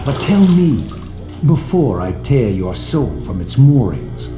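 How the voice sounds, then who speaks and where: A man playing a bitter, vengeful vampire wraith in a video game, measured, eloquent and theatrical. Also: medium pitch, very animated, dark tone. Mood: cold, vengeful. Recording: echoing, music underneath.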